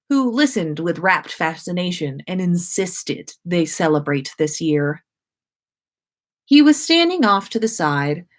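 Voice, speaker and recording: A woman hosting a story-time livestream, speaking in an expressive, informal way as she reads and chats. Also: lowish voice. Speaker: host of a fan fiction story-time livestream. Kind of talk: expressive story reading and casual livestream chat.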